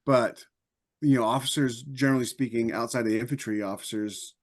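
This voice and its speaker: A man's voice: mid-range voice, fairly even intonation, brisk. A man chatting casually as a podcast panelist, conversational and repeating phrases for emphasis. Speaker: podcast panelist and science fiction author.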